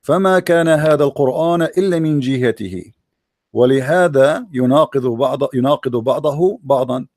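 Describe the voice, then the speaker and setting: A man's voice: mid-range voice, very fast, no echo, clean studio sound. Argumentative man with an Arabic accent. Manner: forceful, argumentative, quotes sources. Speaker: debate host. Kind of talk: religious debate.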